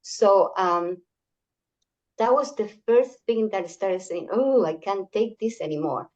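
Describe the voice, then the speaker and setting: An older woman from Chile, whose first language is Spanish, gives a community workshop talk over an online call, speaking haltingly with many fillers and restarts. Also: mid-range voice, darkish tone, highs cut off.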